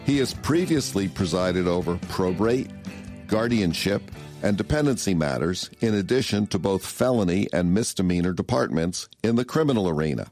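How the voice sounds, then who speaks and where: A man's voice: sits low, expressive, moderate pace, darkish tone, soft background music. An American man hosting a podcast, interviewing his guest conversationally.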